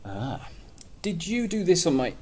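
A man's voice: fairly high pitch, big pitch swings, a little slow, darkish tone, pitched above the performer's own voice, exaggerated voice. A man voicing a small, short-sighted male mole in a storybook, indignant and insistently questioning. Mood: eager, hopeful. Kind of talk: storybook character voice. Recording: clearly noisy room recording.

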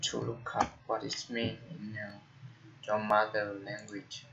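Halting Vietnamese-accented man, slow and flat. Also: fairly low pitch, creaky. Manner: halting, frequent "uh" fillers and restarts. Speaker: tech tutorial host. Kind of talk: casual screen-recorded tutorial. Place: indoor room at a computer. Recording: dull lo-fi computer mic with faint noise.

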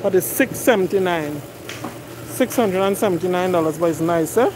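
A woman's voice: lowish voice, moderate pace, noisy background. A middle-aged woman, chatting to viewers and reading prices aloud while shopping in a big warehouse store, filmed on a phone. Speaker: vlogger. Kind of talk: casual shop-with-me chat. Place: large warehouse store, indoors. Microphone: phone camera held while pushing a cart.

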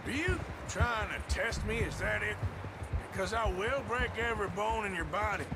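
An actor playing a gruff outlaw gunslinger of the Old West in a video game, with an American accent and plain-spoken drawl. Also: male voice, high-pitched, moderate pace, background noise, lightly acted. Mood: menacing. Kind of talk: video game dialogue acting.

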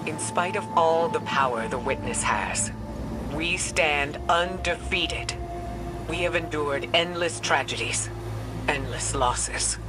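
A woman playing a dignified, resolute war leader in a game, with measured, defiant oratory. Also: deep voice. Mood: defiant resolve. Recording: loud swelling music behind.

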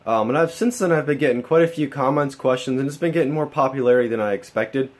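A man's voice: mid-range voice, fast. A man with a bit of a cold, casually walking through his home computer build in a matter-of-fact way, filming with a phone. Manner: plain, matter-of-fact, with fillers like uh and um. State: getting a cold. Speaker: tech hobbyist vlogger. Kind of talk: casual show-and-tell walkthrough. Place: home room with a mining rig.

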